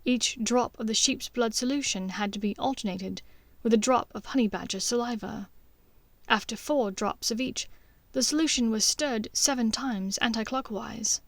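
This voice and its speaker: A woman reading scripted fiction as an audiobook narrator, in a measured, expressive storytelling manner. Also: fairly high pitch, no echo.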